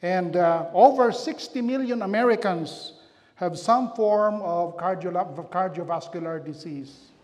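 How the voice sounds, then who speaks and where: A man's voice: high voice, unhurried, darkish tone. A middle-aged man with a Filipino accent, a pastor chatting through a community health talk with loose, self-deprecating asides. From Philippines. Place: church hall. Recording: long hall reverb.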